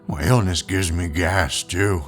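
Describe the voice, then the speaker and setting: Man acting a slow, wheedling street beggar. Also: low voice, soft background music. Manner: wheedling, rambling. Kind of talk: comic dub acting.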